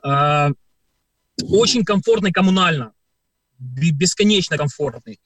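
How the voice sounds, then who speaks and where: A man's voice: fairly high pitch, lively intonation, measured pace, fairly bright tone, dry recording, rough recording. Hesitant man on dry studio mic. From Ukraine. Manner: hesitant, many filler sounds, storytelling. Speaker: performer and guest. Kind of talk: radio interview answers.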